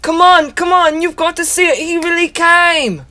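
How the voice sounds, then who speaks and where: A woman's voice: very high voice, normal speed, sharp tone. A teenage girl voicing an eager, bubbly small boy in a school stage play. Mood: excited. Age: teens. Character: excited small sickly boy, joyful.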